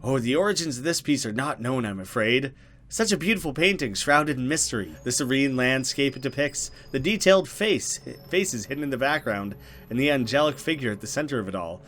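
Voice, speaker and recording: A man's voice: medium pitch, animated, fairly quick, a bit bright, lightly acted. A man voicing a creepy, courteous stranger in a visual novel, with formal, florid, unctuous phrasing. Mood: creepy, rapt. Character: creepy, courteous man enamored of a mysterious painting. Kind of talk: visual novel dialogue read aloud.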